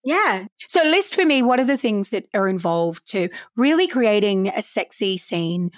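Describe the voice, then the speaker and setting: A woman hosting a podcast interview, asking quick, playful questions in a conversational way. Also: medium pitch, big pitch swings, no echo, studio quality. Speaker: podcast host.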